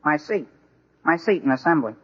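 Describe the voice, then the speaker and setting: An excitable, muddled high school boy, acted by a man, whiny and earnest. He speaks in the broad style of 1940s radio comedy, on a dull, muffled old broadcast recording. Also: normal speed, lightly acted.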